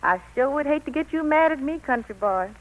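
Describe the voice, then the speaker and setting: High-voiced, sassy flirt. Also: female voice, lively intonation, brisk. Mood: flirty. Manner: teasing, coquettish. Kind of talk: 1940s radio acting. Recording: tinny vintage 1940s radio broadcast.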